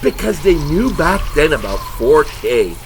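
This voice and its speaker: A man's voice: a little high, very animated, a little slow, slight background noise, poor audio. A man playing an exasperated, sarcastic, foul-mouthed monster hunter in a comedy audio drama. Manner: indignant, sarcastic.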